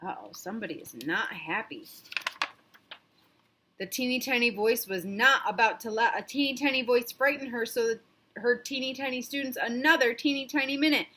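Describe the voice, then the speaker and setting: A woman with a high voice reads aloud to young children. Her storytelling is expressive and interactive, pitched to draw little listeners in. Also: normal speed, slight background noise.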